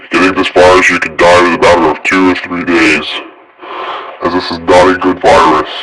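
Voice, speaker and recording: A man playing a grave emergency alert announcer who delivers warnings in a halting, repetitive way. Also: sits low, natural voice, low-quality recording. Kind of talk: improvised emergency broadcast announcement.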